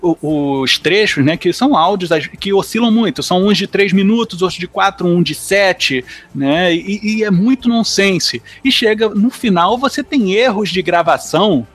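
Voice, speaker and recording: A Brazilian man with a notably high voice talks as a podcast panelist. His manner is informal and enthusiastic, in the style of a casual group chat. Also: animated, moderate pace, hardly any pauses, a bit bright, faint music behind.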